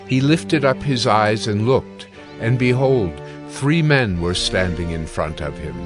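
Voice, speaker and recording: A man narrating scripture from a script in a measured, formal manner. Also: fairly low pitch, animated, over music.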